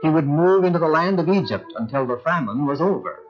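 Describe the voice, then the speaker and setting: A man's voice: a little high, expressive, fast talker. A man narrating a scripted biblical drama in a solemn storytelling manner. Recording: muffled sound with music underneath. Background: music.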